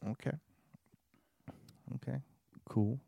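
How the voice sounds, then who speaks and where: A man's voice: takes it slowly, warm tone, no echo. A man in his forties co-hosting a podcast, chatting casually in a rambling, joking way. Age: 40s. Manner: rambling, joking, many restarts. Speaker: podcast co-host.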